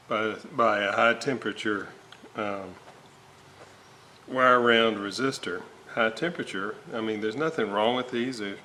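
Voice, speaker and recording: A man giving an informal repair walkthrough at a workbench, halting and thinking aloud as he works. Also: on the low side, bright, forceful tone. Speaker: host of a radio restoration video series. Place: indoor workbench, hands working on components. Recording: noticeable background noise.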